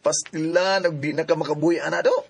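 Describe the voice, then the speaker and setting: An actor playing a brash, teasing young roommate in a Cebuano radio drama, with a husky voice and Filipino accent. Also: male voice, a little high, steady tone, fast. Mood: mocking, amused. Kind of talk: Bisaya radio drama acting.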